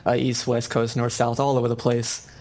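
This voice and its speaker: A man's voice: medium pitch, completely flat delivery, normal speed, a little mellow. A young American man, a video game designer, chatting casually in an interview with many ums and restarts. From United States.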